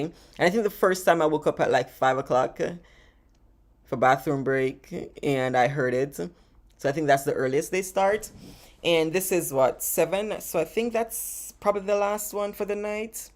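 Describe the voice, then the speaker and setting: A woman with a Jamaican accent, chatting casually and rambling as she answers live-stream questions, often laughing and repeating words. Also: low voice. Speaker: travel vlogger answering live chat questions.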